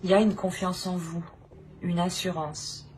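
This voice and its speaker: A woman's voice: lowish voice, level tone, moderate pace, a little mellow, a little hiss or hum. A woman giving an unscripted tarot reading to viewers, searching for words and often rephrasing herself. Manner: hesitant, searching, repeats and rephrases phrases. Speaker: tarot reader, channel host.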